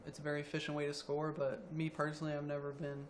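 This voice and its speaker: A young man around twenty, a college student athlete, answering interview questions quietly with many ums. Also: a little high, completely flat delivery, fast, slight background noise. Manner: quiet, soft-spoken, hesitant with ums.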